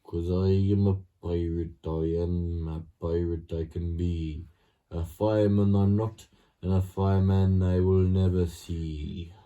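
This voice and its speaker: Man acting out a singing pirate, slow-paced, soft-edged tone, carefree, stubborn, improvised comedy rhymes. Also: low-pitched, level tone, recorded from a distance, lo-fi sound. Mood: stubborn, sing-song. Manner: sing-song, rhyming, boastful. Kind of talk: improvised comedy role-play.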